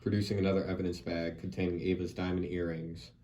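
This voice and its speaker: Very deep, flat male narrator. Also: fast. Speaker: narrator reading stage directions. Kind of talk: scripted narration at a table read. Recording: distant mic, background noise.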